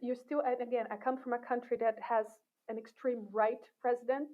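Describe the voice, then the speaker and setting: A middle-aged woman from Brazil whose first language is Portuguese, chatting as a guest in an interview, animated, laughing often and restarting her sentences. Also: on the high side, brisk. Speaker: guest, assistant professor. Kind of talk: casual interview chat. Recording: tinny phone-like sound.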